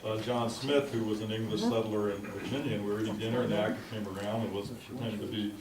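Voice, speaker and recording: A man giving a wedding toast, telling stories in a chatty, halting way with many fillers. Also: lowish voice. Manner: anecdotal, lively, with halting fillers. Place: large reception hall. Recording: roomy, distant, noisy home video.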